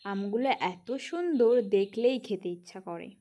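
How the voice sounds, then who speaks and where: A woman's voice: mid-range voice, sing-song, moderate pace, mellow. A woman reading scripted narration for a children's cartoon story.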